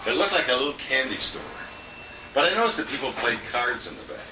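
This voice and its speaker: Distant, roomy, animated man giving tribute. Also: medium pitch, fast. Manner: rambling, chuckling storyteller. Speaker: radio colleague giving a tribute. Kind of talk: informal anecdotal tribute speech. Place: restaurant dinner event, indoors. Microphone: handheld compact camera at a distance. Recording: distant handheld camera, roomy, noisy.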